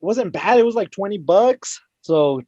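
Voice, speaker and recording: Rowdy high-voiced tipsy man. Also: animated, moderate pace, mellow, dry recording, dull audio. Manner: rowdy, joking, says "bro" constantly. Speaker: podcast co-host. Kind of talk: casual drinking chat.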